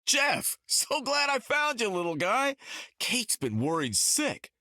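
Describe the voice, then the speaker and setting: Wisecracking superhero archer, swooping intonation. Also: male voice, very high-pitched, huge pitch swings, takes it slowly, sharp tone, no room sound, clean studio sound, full character voice. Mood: wry. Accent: American. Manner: wry, casual American banter. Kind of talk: video game dialogue.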